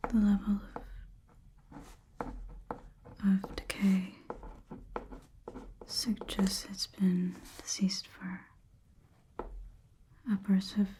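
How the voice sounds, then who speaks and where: A woman doing an ASMR roleplay, speaking very softly with a measured, detached, precise scientific delivery. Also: medium pitch, completely flat delivery, a little hiss or hum. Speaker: ASMR performer. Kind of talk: ASMR roleplay, soft whispered clinical observation.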